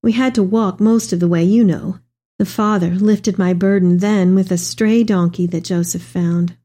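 A woman's voice: medium pitch, fairly even intonation, moderate pace, dark tone, close to an everyday voice. A woman playing an elderly, devout Mary in a dramatic reading of tender, earnest letters to her son. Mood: grateful, loving.

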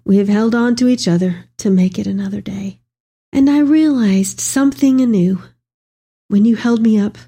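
Woman acting elderly biblical mother, husky, mellow, reflective, reverent, grieving yet at peace, dramatic letter reading. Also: mid-range voice. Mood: sorrowful, reflective.

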